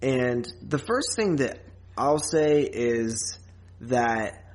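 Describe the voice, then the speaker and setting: A man's voice: medium pitch, expressive, drawn-out words. A man co-hosting a podcast, casually reviewing a film in a rambling, loose way with plenty of filler and some swearing. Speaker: podcast co-host.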